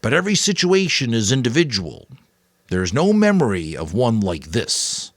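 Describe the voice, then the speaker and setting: A man voicing a thoughtful, caring old mentor in a dramatic audiobook, measured and advisory. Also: medium pitch, very animated, dry recording, clean studio sound. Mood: thoughtful, cautious.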